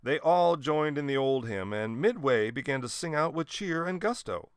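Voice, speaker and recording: Expressive man reading a children's story, very lively. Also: a little high, moderate pace, clean tone, no room sound, clean studio sound. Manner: expressive, dramatic emphasis. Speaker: storybook reader. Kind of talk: scripted children's story narration.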